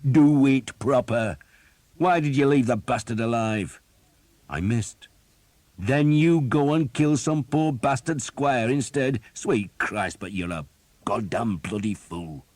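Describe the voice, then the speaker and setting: A man voicing a blunt, foul-mouthed male archer captain who shouts and curses, in a dry, close recording. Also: fairly low pitch, normal speed, no room sound, pitched above the performer's own voice, close to an everyday voice. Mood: angry scolding. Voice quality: shouting, cursing.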